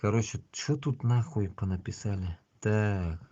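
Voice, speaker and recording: A man's voice: lowish voice, measured pace, dark tone. A man from Bashkortostan, hosting a casual livestream in a blunt way. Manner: blunt, heavily swearing. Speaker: livestream host. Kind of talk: casual livestream chat with read-out news. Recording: dull, lo-fi stream audio.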